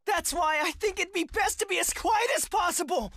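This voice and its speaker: A man's voice: very high-pitched, normal speed, sharp tone, no room sound, studio quality. A man voicing a cowardly, whiny teenage boy swordsman in an anime dub, tearful and strained, wailing and stammering. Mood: anxious, pleading.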